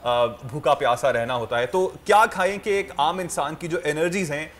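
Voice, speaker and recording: A man with a slightly high voice hosts a studio talk show. He introduces the guest and puts questions to him in a friendly, conversational tone. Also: moderate pace, a bit bright. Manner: friendly, conversational, brief agreeing interjections.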